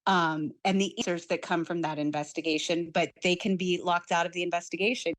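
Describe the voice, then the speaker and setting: A woman answers interview questions as an advocate, explaining in a measured, plain way. Her voice is slightly low and the sound is very dry. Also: flat delivery, no echo.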